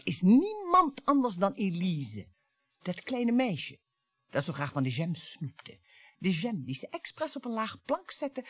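A woman's voice: lowish voice, no room sound. An actress playing a bitter, mocking wife in her fifties in a radio play, with a theatrical way of talking. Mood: scornful. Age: 50s. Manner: theatrical, mocking, swinging between coaxing and scorn. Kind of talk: radio drama acting.

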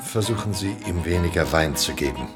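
Calm evasive gentleman, radio drama, really deep voice with music under. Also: brisk. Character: unhurried, politely evasive male visitor giving advice. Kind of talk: German radio drama acting.

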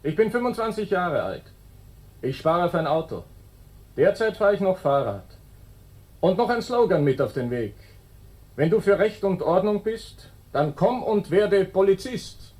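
Young Viennese rookie cop, earnest, highish and very changeable in pitch. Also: male voice, very animated, measured pace, a little mellow, slightly rough, heavily acted. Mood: eager. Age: young adult. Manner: earnest, recites slogans. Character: eager, sloganeering young novice policeman with a secret. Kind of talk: satirical radio play acting.

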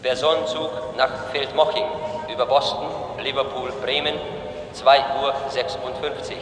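An actor playing a railway station announcer, calling out formally in drawn-out phrases, in a German radio drama. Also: male voice, mid-range voice, fairly even intonation, a bit bright, lightly acted. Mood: official. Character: sing-song male railway station announcer. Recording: echoing station hall, faint noise.

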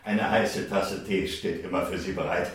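Man playing a genial husband, low, very echoey. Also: steady tone, normal speed, close to an everyday voice. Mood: hearty, eager. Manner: genial, conciliatory. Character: genial, hard-up husband, drinker, pleading with his wife. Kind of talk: German radio drama acting. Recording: very echoey, slightly distant, faint noise.

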